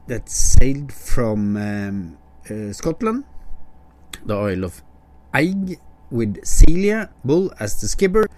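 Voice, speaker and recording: A man's voice: mid-range voice, darkish tone. A man hosting a podcast, introducing the episode and interviewing guests. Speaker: podcast host.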